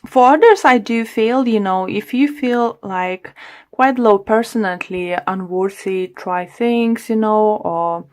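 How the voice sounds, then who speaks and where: Slow, halting tarot reader, non-native woman. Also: mid-range voice, a little mellow. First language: not English. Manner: halting, searching for English words. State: slightly losing her voice. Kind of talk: tarot reading to camera.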